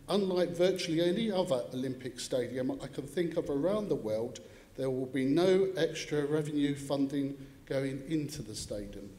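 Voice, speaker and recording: A man's voice: fairly high pitch, mellow. A middle-aged British man questioning officials in a committee session, pointed and emphatic. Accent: British English. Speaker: assembly member questioning. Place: large council chamber. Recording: echoey hall with faint ambience.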